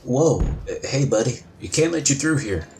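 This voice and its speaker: A man playing a nervous, inexperienced deputy in an audio drama, speaking in a hesitant, halting way. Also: medium pitch, animated, noisy background, close to an everyday voice. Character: nervous, green male deputy.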